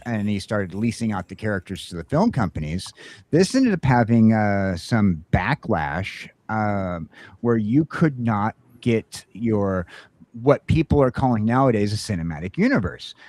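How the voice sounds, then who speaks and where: Man, low voice, casual morning-show host, chatty, asks his guests questions. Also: expressive, normal speed, darkish tone.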